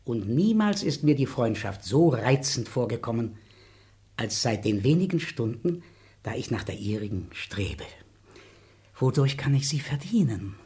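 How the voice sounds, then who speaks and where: Effusive fifty-year-old baron. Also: male voice, mid-range voice, very animated, a little slow, a little mellow. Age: 50s. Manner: effusive, courteous. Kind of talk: radio drama acting.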